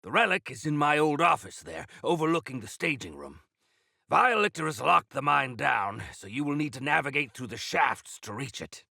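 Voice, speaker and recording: A stalwart older clan leader from a video game, proud and formal in an old-fashioned way. The voice is gravelly and forceful, swinging dramatically across its range. The delivery is theatrical, as befits a chief of mountain folk. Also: male voice, on the high side, very animated, moderate pace, dry recording, studio quality, heavily acted. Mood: grave, urgent briefing. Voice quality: gravelly, rough-edged. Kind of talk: video game character acting.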